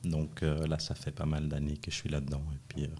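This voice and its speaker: A man's voice: very deep voice, monotone, fast talker, mellow, breathy edge. A middle-aged man, chatting casually as an interview guest in a joking, self-deprecating way. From born in Chile, raised in Switzerland from age five. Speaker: podcast guest, dance school founder. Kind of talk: casual chat.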